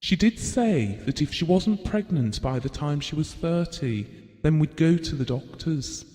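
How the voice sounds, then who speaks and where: A man's voice: on the high side, expressive, unhurried, dark tone. A man acting a devoted middle-aged husband in an audio drama, reminiscing tenderly and wistfully. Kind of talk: theatrical audio drama monologue and dialogue. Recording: long hall-like reverb.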